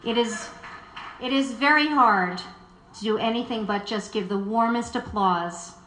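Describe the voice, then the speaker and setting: A woman's voice: a little high, measured pace, fairly bright tone. A woman hosting a live event, giving a formal prepared introduction. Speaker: event host introducing a speaker. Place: indoor live event before an audience. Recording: echoey live room.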